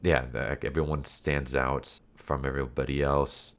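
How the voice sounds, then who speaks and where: A man with an extremely deep voice talks casually to his viewers as an online reviewer. He sounds congested and nasal, as if his nose is blocked by a cold. His delivery is unscripted and rambling, often repeating and rephrasing his points. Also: level tone, normal speed, vocal fry. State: sick with a stuffed nose and cough. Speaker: YouTube anime movie reviewer and channel host.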